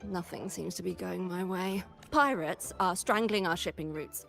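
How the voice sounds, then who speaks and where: A woman's voice: lowish voice, normal speed, creaky, a bit bright. An actress voicing a dramatic, self-pitying merchant woman in a video game, with game music behind her. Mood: aggrieved. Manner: dramatic, complaining.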